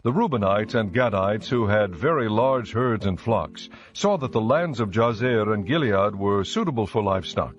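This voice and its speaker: A man giving a formal, measured scripted narration of scripture. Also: lowish voice, expressive, highs cut off.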